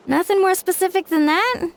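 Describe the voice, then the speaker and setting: Bubbly, high-pitched young adventurer. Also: female voice, heavily acted. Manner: excitable, friendly, expressive. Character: cheerful, bubbly, energetic young adventurer woman. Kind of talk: video game voice acting.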